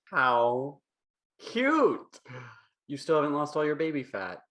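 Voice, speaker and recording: A man's voice: fairly high pitch, very animated, slow pace, a bit bright, heavily acted. A man acting a playful, breezy, teasing old friend in a comedy table read. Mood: teasing, gushing. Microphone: video call.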